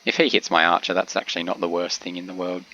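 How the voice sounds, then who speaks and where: A man with a cold, sounding a little congested, giving relaxed game commentary with frequent pauses. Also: low-pitched, muffled recording, slight background noise. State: has a cold, slightly congested. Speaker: gameplay commentator.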